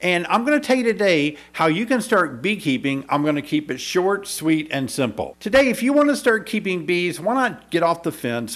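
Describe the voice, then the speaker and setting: A man in his fifties, a beekeeping instructor giving an upbeat, persuasive video lesson to camera in a small indoor studio, speaking into a desk mic. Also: a little high. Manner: upbeat, persuasive, clear teaching delivery. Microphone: desk microphone in front.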